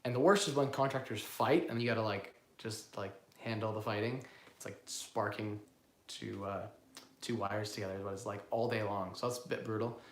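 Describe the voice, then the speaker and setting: A man with a cold, hoarse, hosting a casual live-stream Q&A on investing and rambling as he answers viewers. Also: fairly low pitch, fairly even intonation, normal speed, echoey room. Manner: rambling, self-correcting, repeats words. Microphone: phone built-in mic, no lapel.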